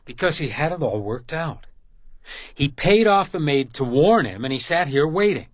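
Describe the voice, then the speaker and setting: An actor playing a gruff, hard-drinking hotel detective in a radio crime drama, speaking in terse, urgent phrases. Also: male voice, a little high, big pitch swings, moderate pace, no echo, clean studio sound. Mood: brisk, focused.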